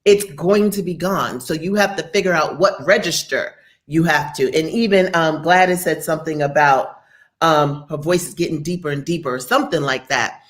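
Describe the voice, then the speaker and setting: A woman, chatting candidly as a podcast co-host and acting out quotes. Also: normal speed. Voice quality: deep voice. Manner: candid, animated storytelling with acted quotes. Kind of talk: casual opinion chat.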